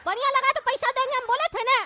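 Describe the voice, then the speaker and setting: A woman voicing a cheeky bald man who wheedles and whines in a squeaky voice. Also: steady tone, fast. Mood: wheedling. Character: whiny cheeky bald male customer. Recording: noisy recording with clicks.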